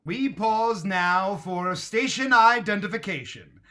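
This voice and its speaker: A man announcing in old-time radio style, formal, measured and theatrical. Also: high voice, bright, forceful tone.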